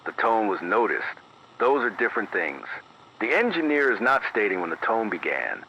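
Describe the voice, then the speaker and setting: Deep, bright-edged radio emergency announcer, acted by a man. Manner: measured, formal, deliberate, precise. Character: grave, careful late-night male emergency radio announcer. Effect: AM radio filter. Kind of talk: scripted analog horror emergency news bulletin. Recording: dull band-limited AM radio, faint noise.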